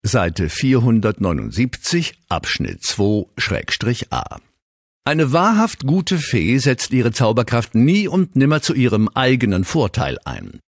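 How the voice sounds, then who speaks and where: A pompous rulebook in a children's radio play. He declaims formally in a husky voice with huge swings of pitch, close and dry. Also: dry recording, exaggerated voice.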